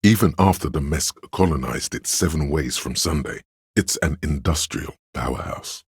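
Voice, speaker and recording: An actor voicing an erudite, worldly inner voice of knowledge in a video game, narrating facts in a measured, dry, scholarly way. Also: male voice, a bass voice, husky, no echo, full character voice. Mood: measured, knowing.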